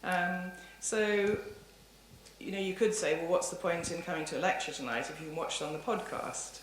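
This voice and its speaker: A woman's voice: lowish voice, steady tone, normal speed. A woman lecturer answering audience questions in a thoughtful, discursive, hedging way. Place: indoor lecture hall. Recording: roomy lecture hall, faint background noise.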